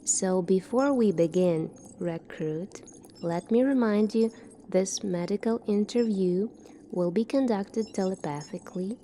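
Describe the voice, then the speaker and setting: A woman in a soft-spoken ASMR roleplay, playing a calm, formal military doctor in a measured, clinical manner. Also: medium pitch, a little hiss or hum.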